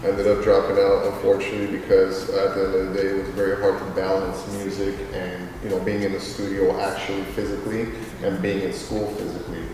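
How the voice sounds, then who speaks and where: A man's voice: deep voice, completely flat delivery, fast talker, few pauses, noisy background. A man in his thirties, a music artist and label owner, answering interview questions in a rambling, casual way with many fillers. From Queens, New York; raised in Los Angeles. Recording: distant mic in echoey reverberant space with crowd.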